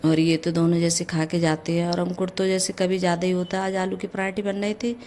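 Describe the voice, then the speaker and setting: A middle-aged mother with a hoarse voice from a cold, chatting through a casual home vlog as she cooks breakfast and goes on with her housework, often saying "jaise" between her words. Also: fairly low pitch, flat delivery, a little fast, a little mellow, slight background noise. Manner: chatty, leans on the filler "jaise". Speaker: vlogger, mother. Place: home kitchen.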